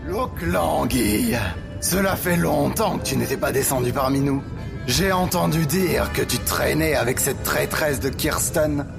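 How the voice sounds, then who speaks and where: An actor playing an imperious, suspicious crime boss in an audio drama, mock-courteous and threatening. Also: male voice, a little high, measured pace, creaky, over music. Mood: suspicious, curious.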